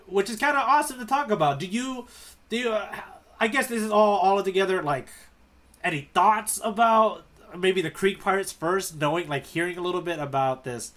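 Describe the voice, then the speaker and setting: A man with a voice a bit higher than average, acting as guide on a casual podcast. He talks in an informal, explanatory way and steers the discussion from point to point. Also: fairly bright tone.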